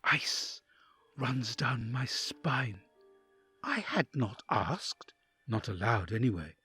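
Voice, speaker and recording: A man's voice: medium pitch, lively intonation, slow, pushed and bright, husky, faint music behind, full character voice. A man playing an awed, anxious envoy who narrates a dramatic audio drama in the first person. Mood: nervous, hushed.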